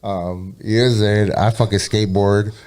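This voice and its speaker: A man's voice: on the low side, level tone, normal speed, a little mellow, smooth. A tipsy man in his thirties from California talks in a loose, rambling way. His street slang is thick with profanity. He sounds unguarded and sure of himself. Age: 30s. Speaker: guest rapper and producer. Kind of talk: casual podcast chat.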